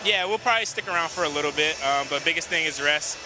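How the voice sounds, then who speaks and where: A man's voice: a little high, quick pace, rough recording. A young man basketball player answering postgame questions modestly, with many fillers. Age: young adult. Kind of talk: postgame interview.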